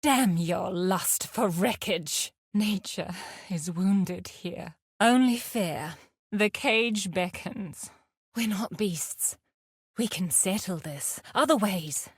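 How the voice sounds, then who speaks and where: A woman playing a fierce, aloof huntress in a video game, speaking in a measured, terse and commanding way. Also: mid-range voice, breathy edge, no echo, clean studio sound. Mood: grim, measured. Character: fierce, aloof huntress with a predatory, survivalist temper. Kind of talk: scripted game combat barks and dialogue.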